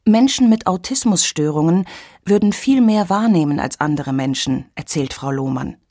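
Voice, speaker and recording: Female radio reporter, scripted narration, lowish voice, dry close sound. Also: normal speed, no echo. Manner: clear, even reporting.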